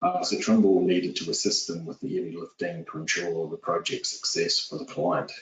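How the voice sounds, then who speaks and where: Low-voiced Kiwi man presenting a webinar. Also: moderate pace. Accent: New Zealand. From New Zealand. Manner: steady, businesslike, with frequent filler "uh"s. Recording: echoey, distant, dull lo-fi audio.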